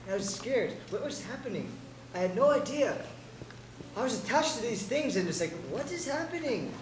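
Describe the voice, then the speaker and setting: A woman's voice: on the high side, sing-song, moderate pace, roomy sound, noisy background. A young woman improvising a comic story in a giggly, bewildered manner. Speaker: improv player.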